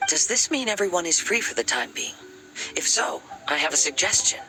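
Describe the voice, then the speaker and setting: A man playing a calm, gentle and courteous young male wanderer in a video game. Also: fairly high pitch, brisk, vocal fry, soft background music, heavily acted. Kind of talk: English video game voice acting.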